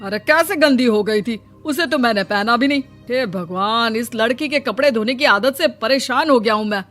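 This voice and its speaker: Cartoon village father, voiced by a woman: middle-aged, exasperated, grumbling, high for the part, music behind. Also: moderate pace, fairly bright tone, close to an everyday voice. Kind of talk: Hindi animated comedy story acting.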